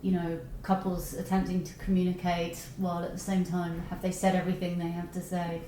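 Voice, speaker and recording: A woman's voice: on the low side, completely flat delivery, brisk, warm tone. A woman interviewing an author conversationally, searching a little for her words as she goes. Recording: echoey, distant mic with background noise.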